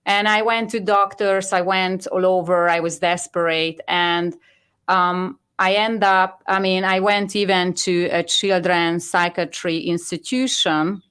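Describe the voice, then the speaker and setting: A Hungarian woman speaking English with a Hungarian accent, giving an earnest, halting personal testimony as a guest. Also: lowish voice, steady tone, a bit bright, clean tone. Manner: earnest, halting non-native phrasing. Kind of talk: personal testimony on a talk show.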